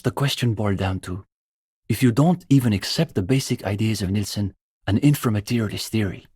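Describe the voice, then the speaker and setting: Bookish young communist student, slightly husky. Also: male voice, fairly low pitch, a little mellow, no room sound, studio quality. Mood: pedantic, aggrieved. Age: young adult. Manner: intellectual, opinionated, dryly pedantic. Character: earnest, bookish young male communist student, smugly doctrinaire. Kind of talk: video game dialogue voice acting.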